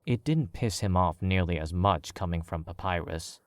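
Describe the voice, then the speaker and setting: A man narrating a fan fiction as an audiobook, in a measured, wry storytelling manner. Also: sits low, no echo.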